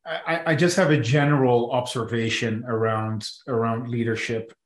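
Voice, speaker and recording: A man's voice: medium pitch, steady tone, moderate pace, echoey room. A man in his forties, a business executive speaking as an interview guest, talking in a hesitant way with many restarts. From Netherlands. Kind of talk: conversational interview.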